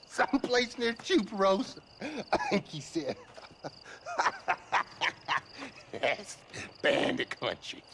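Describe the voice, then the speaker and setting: An actor playing a gruff, mocking outlaw in a Western video game. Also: male voice, sits high, wildly swooping pitch, very slow, long pauses, background noise, exaggerated voice. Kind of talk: video game character acting.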